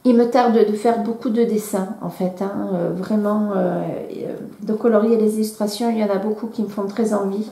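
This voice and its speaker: A woman's voice: medium pitch, steady tone, moderate pace, creaky, few pauses, dark tone. A woman vlogger with a cold, chatting casually and hesitantly with many fillers and restarts. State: has a cold. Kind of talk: casual chat. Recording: echoey room.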